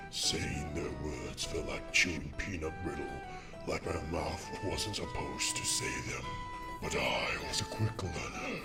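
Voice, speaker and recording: Deep-voiced monster king played by a man, looking back. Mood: solemn. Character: grown pony king of monsters, recounting his lonely childhood. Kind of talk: dramatic first-person storytelling. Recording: music under the voice. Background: music.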